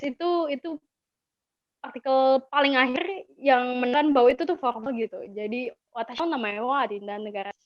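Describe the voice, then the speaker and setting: A woman's voice: high voice, moderate pace, dry recording. A woman with an Indonesian accent giving an informal language lesson, chatty and encouraging, repeating key words for learners. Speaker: webinar speaker teaching languages. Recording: dull lo-fi webinar audio.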